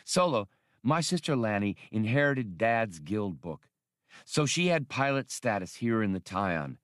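A man's voice: lowish voice, sing-song, unhurried, dry recording, studio quality. A man voicing a proud, blunt young working-class woman spacefarer in an audiobook, speaking emphatically. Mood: proud, firm. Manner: scolding, emphatic.

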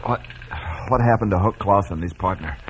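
A man's voice: low-pitched, moderate pace, slight background noise, natural voice. Young western rancher, played by a man: weak, shaken but stubbornly determined, dull old broadcast sound. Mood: worried, determined. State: dazed, weak from smoke. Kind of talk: old-time radio western acting. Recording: muffled old radio recording.

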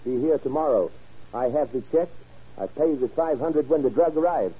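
An actor playing a shrewd, impatient male drug buyer in an old radio crime drama, speaking in formal, deliberate, clipped phrases. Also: on the high side, breathy edge. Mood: firm, businesslike. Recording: muffled old-time radio recording.

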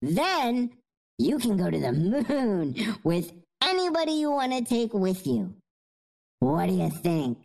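A woman's voice: medium pitch, very animated, slow, a little mellow. A woman playing a bossy, earnest young girl with schemes, in a comedic audio sketch. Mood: scheming. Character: bossy, scheming young girl, prize-obsessed best friend.

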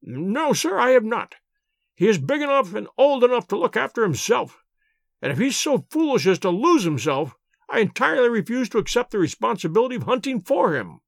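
A man reading an audiobook, voicing a querulous, stingy little old nobleman with a sharp, crackling voice and a quick, intense, scolding manner. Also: very high-pitched, very animated, vocal fry, no room sound, studio quality, pitched above the performer's own voice, heavily acted. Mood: irate, miserly. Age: older. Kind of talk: audiobook character voice.

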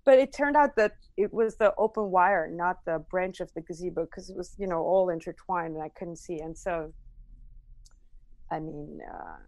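A woman's voice: lowish voice, a little mellow, dry recording. A woman, a podcast guest telling a personal story in a rambling way. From Armenia.

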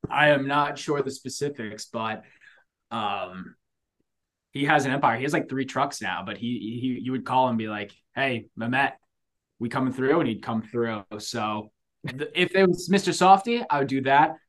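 A man co-hosting a podcast, chatting casually and telling stories in a slangy, animated way. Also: medium pitch, normal speed. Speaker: podcast co-host.

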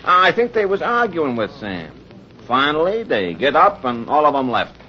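Lively, plain-spoken radio bartender. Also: male voice, a little high, normal speed. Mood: confiding. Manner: folksy, ungrammatical, hesitant asides. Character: gossipy, plain-spoken working-class male pool-room bartender. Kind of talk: 1940s radio drama character acting. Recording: muffled, noisy old radio recording.

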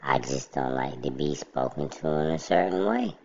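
Very deep, gravelly, slow-talking man. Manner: steady, plainspoken, self-described monotone. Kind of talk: casual monologue to camera. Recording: dull lo-fi recording.

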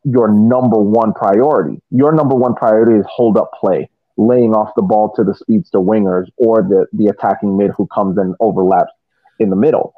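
A man's voice: on the low side, fairly even intonation, dry recording, narrow, tinny audio. A man giving casual soccer analysis as a podcast panelist, often repeating words as he works through his point. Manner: halting, repeats words while reasoning.

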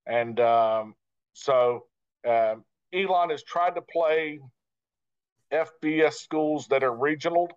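A man who works as a sports broadcaster answering interview questions in a measured, reflective way, pausing between short phrases. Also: a little high, smooth. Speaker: guest, radio play-by-play broadcaster. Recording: dull, lo-fi sound.

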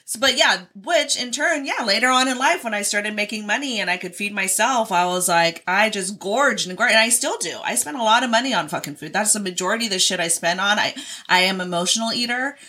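A woman's voice: medium pitch, brisk, sharp tone, husky. A young adult woman co-hosting a podcast, talking candidly and casually, swearing a lot and laughing easily. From Oregon, US. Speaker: podcast co-host.

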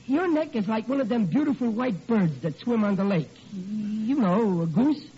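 A blustering, henpecked middle-aged husband in an old radio comedy. His high voice swoops about as he stammers in exasperation, heard through a muffled, noisy old recording. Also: animated, moderate pace. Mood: nervous, flattering. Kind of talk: 1940s radio comedy acting.

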